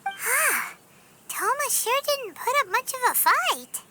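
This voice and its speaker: A woman voicing a tiny, childlike fairy companion in a video game, squeaky, curious and outspoken. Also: sing-song, slow, heavily acted. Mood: puzzled. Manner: blunt, inquisitive, chirpy. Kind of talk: video game cutscene acting. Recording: loud music behind the voice.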